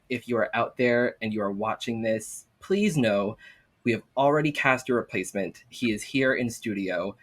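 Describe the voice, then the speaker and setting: A man hosting a talk show with playful banter, speaking in a campy, sassy, theatrical way. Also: fairly low pitch.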